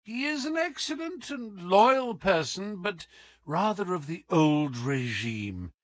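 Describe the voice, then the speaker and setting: A man voicing a suave, affable gentleman detective with a polite, teasing manner in an audiobook. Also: high voice, big pitch swings, slow pace, no room sound, studio quality, pitched above the performer's own voice, exaggerated voice. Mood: pleased, playful.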